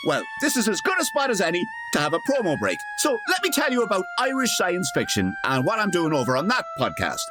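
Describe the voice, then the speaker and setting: A man's voice: sits high, wildly swooping pitch, quick pace, hardly any pauses, over loud music. A man narrating in a theatrical comedic radio style, with an arch, dramatic delivery.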